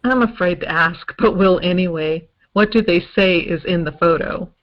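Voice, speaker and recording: Slightly dull, lo-fi audio of a woman acting as a female investigator in an old-time radio style play. She is skeptical and decisive, with a voice a little on the low side. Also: normal speed, lightly acted. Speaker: investigator in an amateur audio drama.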